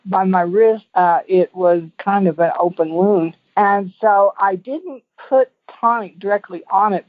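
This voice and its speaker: Female call-in guest, medium pitch, casual and meandering testimonial delivery, narrow tinny telephone sound. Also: no echo. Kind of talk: casual rambling personal testimonial. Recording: tinny narrow phone line.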